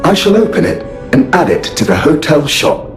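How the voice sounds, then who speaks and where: A courteous puppet butler speaks with formal precision and a very lively lilt. The game voice rings in an echoey room over faint music. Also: male voice, on the high side, moderate pace. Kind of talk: game character exposition.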